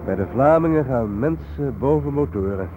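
An actor with a Netherlands Dutch accent playing a grave, courteous magazine reporter in a radio play. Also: male voice, medium pitch, animated, moderate pace, narrow, tinny audio, background noise, lightly acted. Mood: grave, calm. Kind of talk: naturalistic radio drama dialogue.

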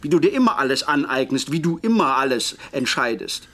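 A man's voice: mid-range voice, very animated, brisk, a bit bright. An actor in a German radio drama playing a resentful, sardonic middle-aged brother, an indignant father.

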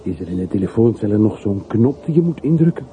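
Radio play role: wistful wealthy middle-aged man, lowish voice, brooding and confessional, phone-thin hissy recording. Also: very animated, quick pace, phone-quality sound. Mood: insistent. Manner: brooding, confessional, short clipped sentences.

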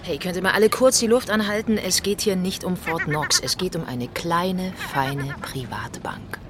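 A woman's voice: fairly low pitch, normal speed, noisy background, close to an everyday voice. An actress playing a bossy, clever woman who masterminds a robbery crew, commanding and impatient in naturalistic radio drama dialogue.

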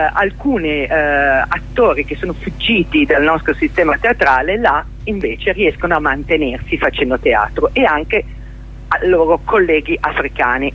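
A middle-aged woman with a husky voice gives a radio interview over a dull, lo-fi link. She explains things reflectively, pausing to search for words and restating phrases for emphasis. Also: sits low, fairly bright tone. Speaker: interview guest, theatre journalist and performer.

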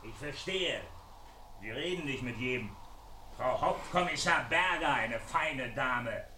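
An actor playing a wounded, menacing intruder who mocks and taunts through a door in a German radio crime play. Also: male voice, mid-range voice, expressive, unhurried, recorded from a distance, slight background noise. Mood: mocking menace. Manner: jeering, mock-polite, swinging from muttering to shouting. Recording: echoey radio play, off the mic.

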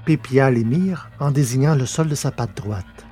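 Guy narrating a sci-fi story, measured delivery, middle pitch, bit of music under it. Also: warm tone. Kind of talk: scripted narration of a science fiction story.

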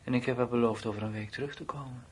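An actor playing a weary, anxious twenty-one-year-old soldier who broods and mutters in a radio drama. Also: male voice, steady tone, rapid-fire, darkish tone, slightly rough. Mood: weary, brooding. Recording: noisy old radio play recording with rumble.

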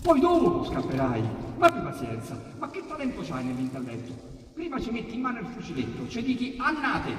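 Attore romano teatrale, voce molto animata. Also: male voice, wildly swooping pitch, a little fast. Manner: dramatic, swinging from loud outbursts to hushed low asides. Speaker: reciter of dialect sonnets. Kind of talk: theatrical poetry recitation. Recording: echoey hall, distant mic, faint hiss.

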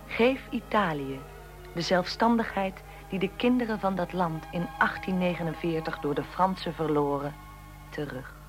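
A woman's voice: on the low side, normal speed, faint music behind, close to an everyday voice. A woman playing a curious, sympathetic interviewer of historical figures in a radio drama. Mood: solemn reading.

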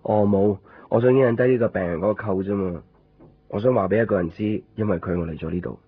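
An actor playing a brooding, lovelorn man narrating a radio drama in Hong Kong Cantonese, in a deliberate, introspective way. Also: lowish voice, breathy edge. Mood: casual, hesitant. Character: brooding, lovelorn man searching a night hospital. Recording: dull lo-fi radio recording, faint music.

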